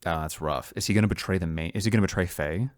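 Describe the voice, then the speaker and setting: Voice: sits low, racing, dry recording, studio quality. A podcast co-host in a casual creative brainstorming chat, talking enthusiastically and often doubling back on words.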